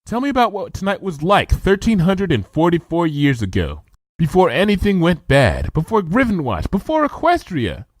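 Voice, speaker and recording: A man's voice: high voice, huge pitch swings, moderate pace, no echo, pitched above the performer's own voice, full character voice. A man voicing an earnest, bookish young female unicorn who talks eagerly for a storybook reading.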